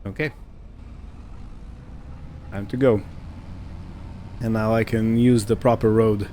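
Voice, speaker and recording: A man giving casual roleplay game commentary, thinking aloud in short, unhurried phrases over a background hum. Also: lowish voice, fairly even intonation, warm tone. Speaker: gaming video host.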